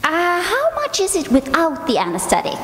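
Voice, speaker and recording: A woman's voice: very high-pitched, wildly swooping pitch, moderate pace, heavily acted. A woman playing a nagging, penny-pinching, fretful wife in old-time radio comedy, complaining in an echoey hall. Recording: echoey hall, long reverb, background noise.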